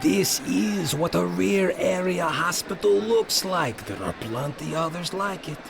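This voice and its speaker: An actor playing a gruff, sceptical soldier in an audio drama, blunt and challenging. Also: male voice, high voice, lively intonation, measured pace, music in the background, heavily acted. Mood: gruff disbelief.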